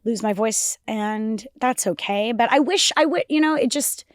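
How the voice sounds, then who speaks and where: A young woman with a raspy voice co-hosting a casual podcast, rambling with restarts and lots of "like". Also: a little high, normal speed, no room sound, clean studio sound. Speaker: podcast co-host. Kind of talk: casual chat.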